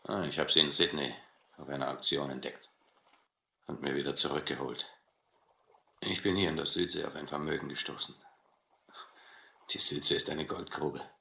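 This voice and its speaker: An actor playing a brutal, proud, cynical sea captain who taunts and philosophizes in a German radio adventure play. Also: male voice, rumbling low, steady tone, creaky, sharp tone, roomy sound, full character voice. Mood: cynical, matter-of-fact. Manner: mocking, slow deliberate taunting.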